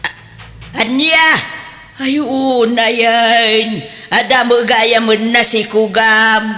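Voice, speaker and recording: Sharp, bright-voiced scolding Ilocano mother played by a woman. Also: fairly high pitch, fairly even intonation, extremely slow, full character voice. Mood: indignant, scolding. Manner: nagging, sharp-tongued, indignant. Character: scolding, domineering mother of a grown son. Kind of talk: Ilocano audio drama acting. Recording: long reverb, faint background noise.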